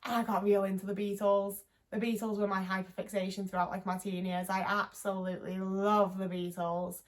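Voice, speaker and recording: A woman's voice: mid-range voice, level tone, brisk. Scouse girl in her 20s rambling on, lots of likes, swears a bit, just chatting to her cam. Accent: Liverpool. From England. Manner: rambling, frequent "like" fillers, sweary and candid. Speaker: vlogger. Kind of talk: casual chat to camera.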